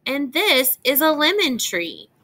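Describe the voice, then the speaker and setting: Bright, lively woman reading aloud to children. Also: sits high, slow pace. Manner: expressive, clearly enunciated, instructive, addressing young children. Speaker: teacher reading a picture book aloud. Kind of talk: children's read-aloud lesson with explanations and questions.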